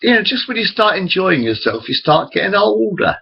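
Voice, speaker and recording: Rambling, gravelly man in his forties. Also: sits high, big pitch swings, brisk, lo-fi sound, a little hiss or hum. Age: 40s. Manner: rambling, self-interrupting, chatty. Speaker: vlogger. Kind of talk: rambling webcam monologue. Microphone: built-in computer camera mic.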